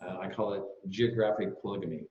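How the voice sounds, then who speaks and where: A middle-aged man from Kansas with an American accent, speaking casually and haltingly as a panelist, on echoey, dull call audio. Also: sits low, fairly even intonation. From Kansas, United States. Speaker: panelist, business strategy consultant. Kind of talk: casual self-introduction on a panel.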